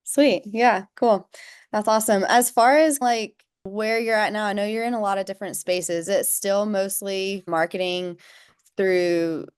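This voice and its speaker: A woman hosting a relaxed interview, her voice smooth and clear at a middle pitch. She is upbeat and enthusiastic, chiming in often with quick affirmations. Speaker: interview host. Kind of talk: casual interview chat.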